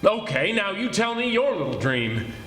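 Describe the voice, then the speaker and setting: A man's voice: high voice, sing-song, normal speed, fairly bright tone, slight background noise. A man playing a sardonic, commanding police detective in a 1940s-style radio drama, theatrical and teasing. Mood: matter-of-fact. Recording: echoey live stage performance.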